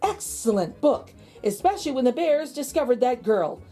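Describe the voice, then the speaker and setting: Woman voicing a high, exclaiming boy scout. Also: big pitch swings, moderate pace, mellow, soft background music. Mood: helpful.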